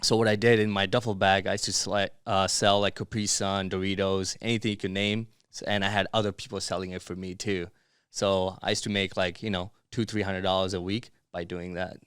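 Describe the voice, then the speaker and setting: A man's voice: sits low, little pitch movement, fairly quick, fairly bright tone, clear, resonant tone, no echo, studio quality. A man from Miami by way of Bangladesh and Dubai, chatting casually as a podcast guest in an informal, slangy way. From born in Bangladesh, grew up in Dubai and Miami. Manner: informal and chatty, peppered with slang like bro and dude. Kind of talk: casual interview chat.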